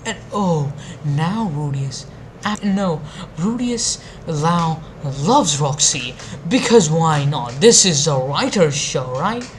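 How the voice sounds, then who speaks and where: A woman's voice: low-pitched, lively intonation, takes it slowly, slight background noise. A woman giving an opinionated video commentary, exasperated and emphatic, with plenty of swearing. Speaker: anime review video creator.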